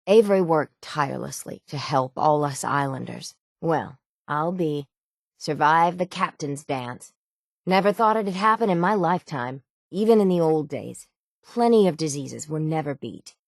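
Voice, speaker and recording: Gravelly, weary island sailor woman. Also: low-pitched, animated, unhurried, no echo, studio quality, exaggerated voice. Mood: somber, resigned. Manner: plainspoken, folksy rural islander speech, resigned yet stubborn. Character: weary, stoic, gruff dying island sailor woman guarding the town's hull. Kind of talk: dramatic video game dialogue.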